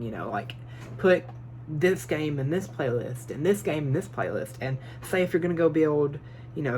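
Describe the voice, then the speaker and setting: A man giving advice in a casual phone vlog from his bedroom, talking nervously and quickly, rambling with lots of fillers and restarts. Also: on the high side, slight background noise. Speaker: vlogger. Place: small bedroom. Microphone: phone built-in mic, no microphone.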